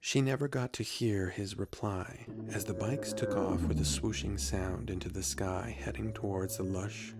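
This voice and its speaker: A man's voice: low voice, vocal fry. A man narrating a scripted adventure story.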